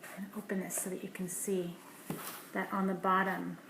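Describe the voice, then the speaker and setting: A woman reading a picture book aloud to children, dropping to hushed asides about the pictures between livelier read lines. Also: lowish voice, level tone, fairly quick, gravelly. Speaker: storybook reader. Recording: roomy echo, faint background hiss.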